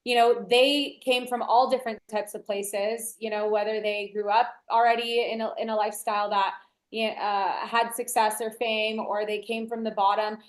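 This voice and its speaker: A woman's voice: fairly high pitch, little pitch movement, moderate pace, reverberant. A young woman, raised speaking Portuguese first, chatting upbeat as a podcast guest with lots of "like" fillers. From United States, Brazilian family. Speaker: guest, DJ and entrepreneur. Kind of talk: casual interview chat.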